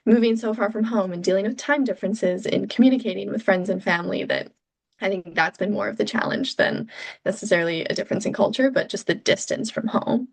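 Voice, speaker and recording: A young Canadian woman, a PhD researcher, giving thoughtful, measured answers in an interview with frequent ums. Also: mid-range voice, gravelly, husky, low-quality recording.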